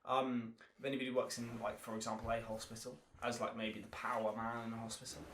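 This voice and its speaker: A man's voice: medium pitch, little pitch movement, fast talker, crowd noise. A man chatting casually as a podcast guest, with hesitant, meandering phrasing, in an echoey room. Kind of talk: casual, rambling chat.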